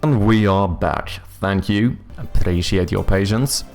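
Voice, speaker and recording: A man's voice: fairly low pitch, unhurried, darkish tone, soft background music. A man from Sweden with a Swedish accent, streaming casually and thinking aloud as he works, with easygoing, sometimes sweary chat. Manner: thinks aloud, muttering while working. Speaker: streamer and game developer.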